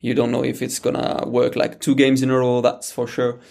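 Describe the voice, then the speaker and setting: A man with a clear French accent talks football as a podcast guest, his voice gravelly with a touch of fry. He speaks hesitantly, filling gaps with "uh" and restarting phrases, as a non-native speaker does. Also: medium pitch, monotone, normal speed, a little mellow. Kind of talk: casual podcast chat.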